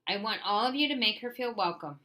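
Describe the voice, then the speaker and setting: A woman's voice: medium pitch, animated, a little fast, sharp tone, pitched above the performer's own voice. A woman reading aloud, voicing a stern schoolteacher who has had enough of her class. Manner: stern, exasperated. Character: stern, fed-up female schoolteacher.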